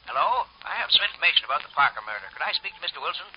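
An actor playing a polite apartment-dweller who phones in a tip eagerly, in 1940s radio acting style on an old radio broadcast. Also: male voice, a little high, big pitch swings, very fast, muffled recording, slight background noise.

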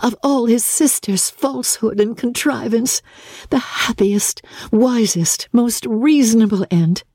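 Wry young gentlewoman, voiced by a woman, raised pitch, articulate, composed, period storybook reading, dry sound. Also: a little slow, no echo. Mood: wry satisfaction.

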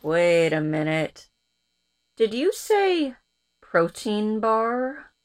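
A woman's voice: mid-range voice, very animated, extremely slow. A woman acting a drowsy, sensible, uneasy character trapped in a capsule in an audio drama, hesitant and full of exclamations. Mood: puzzled, hazy.

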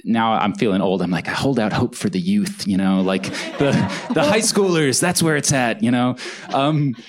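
A man, an author and journalist, answering questions thoughtfully at a live on-stage interview. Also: medium pitch. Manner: thoughtful, measured, hedging with "kind of". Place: event hall with live audience.

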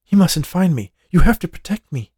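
A man's voice: high voice, sing-song, moderate pace, dark tone, breathy edge, dry recording, clean studio sound, voice pushed up, full character voice. A man voicing a frightened young woman raised from a painting, who speaks in a pleading, tearful, urgent way.

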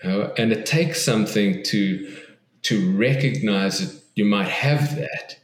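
A man's voice: fairly low pitch, unhurried, roomy sound. A man, a guest author on an interview podcast, speaks thoughtfully and earnestly, often restarting and repeating words.

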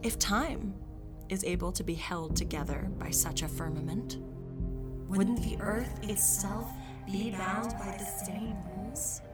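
An actress in audio fiction playing a self-important, earnestly mystical video host who narrates with solemn, portentous questions. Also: female voice, mid-range voice, measured pace, loud music behind the voice, lightly acted.

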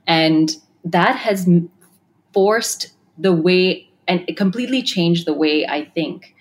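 Low-voiced woman with many fillers. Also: takes it slowly. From Kenya and Australia. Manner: thoughtful, with many fillers and restarts. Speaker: tech design firm founder. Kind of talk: podcast interview answers.

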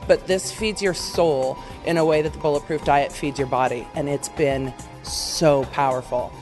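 A woman attorney speaks earnestly and with emphasis, stacking up praise. Clear music plays under her voice. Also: deep voice, steady tone, moderate pace, darkish tone. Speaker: attorney and coaching trainee. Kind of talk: testimonial.

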